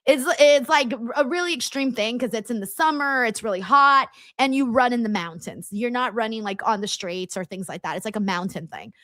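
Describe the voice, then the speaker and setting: Woman host, high bright voice, loose chatty manner, laughs often, dry close mic. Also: fairly quick, hardly any pauses, no echo. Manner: talks straight to her viewers. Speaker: live-stream host reading chat. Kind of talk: casual watchalong chat.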